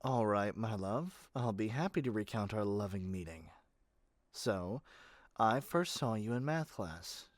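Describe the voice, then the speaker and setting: A man's voice: fairly low pitch, animated, a little slow, no room sound. A man playing a doting yet controlling yandere kidnapper in an ASMR roleplay, speaking intimately to the listener with sweet pet names. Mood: lovesick, confessing.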